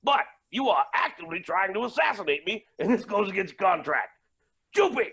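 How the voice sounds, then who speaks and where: Unhinged billionaire villain, high bright creaky voice, grandiose, swinging delivery, stage comedy. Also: male voice, big pitch swings, fairly quick, heavily acted. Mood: smug menace. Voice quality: raspy, creaky. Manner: theatrical, grandiose, swinging from mutters to shouts. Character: flamboyant villainous male billionaire, menacing and unhinged. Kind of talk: staged play reading.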